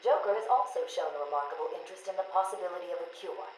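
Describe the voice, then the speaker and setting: A woman's voice: lowish voice, darkish tone, natural voice. Game role female actor: clinical psychiatrist, professional and composed under pressure, echoey taped interview with light noise. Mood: clinical, probing. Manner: measured, professional, probing. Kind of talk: video game voice acting, clinical session tapes.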